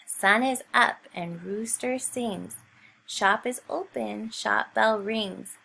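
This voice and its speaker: A woman teacher reading a picture book aloud to young children in an expressive storytelling style. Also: medium pitch, slow pace, gravelly, a little hiss or hum, low-quality recording.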